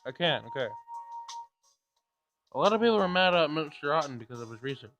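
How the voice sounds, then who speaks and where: A man's voice: on the high side, lively intonation, brisk, a bit bright, muffled recording, faint music behind. A man casually reading a visual novel aloud and commenting as he plays. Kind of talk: casual let's play read-aloud and commentary.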